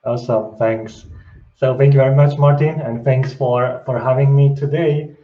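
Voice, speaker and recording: A man's voice: mid-range voice, fairly even intonation, moderate pace. A man from Switzerland giving a technical conference talk, explaining steadily with fillers and repeated words. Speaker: conference speaker. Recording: distant, echoey, muffled remote call audio.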